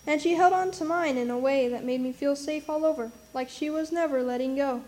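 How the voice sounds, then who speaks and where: A streetwise young orphan voiced by a woman narrates a radio play. The voice is high. The telling is plucky, folksy and wry. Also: fairly quick, darkish tone, close to an everyday voice. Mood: fond reminiscence. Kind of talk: storytelling narration in radio drama.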